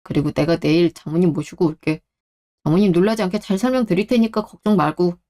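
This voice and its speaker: A woman's voice: lowish voice, fairly even intonation, fast, warm tone, close to an everyday voice. A woman playing a protective young husband, earnest and firm, in a radio drama. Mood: protective, reassuring. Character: protective young husband, caring but exasperated with his mother. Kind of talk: Korean radio drama acting.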